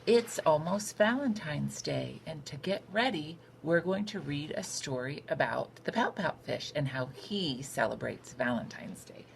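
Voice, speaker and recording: A woman reading a picture book aloud for children in an expressive, unhurried storytelling manner. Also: deep voice, vocal fry, low-quality recording. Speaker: storytime reader. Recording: noticeable background noise.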